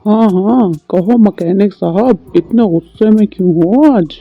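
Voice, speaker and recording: Man playing a slow-talking cartoon village merchant. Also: high voice, creaky, no room sound, exaggerated voice. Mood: curious. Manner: polite, measured. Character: village merchant, sociable male community spokesman. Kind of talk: Urdu moral cartoon story dialogue. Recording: dull lo-fi sound, music bed.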